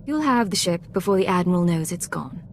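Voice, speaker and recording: A low-pitched female secret agent in a sci-fi game, assertive and probing. She stays cool and controlled over audible background noise. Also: animated, fairly quick. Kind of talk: video game voice acting.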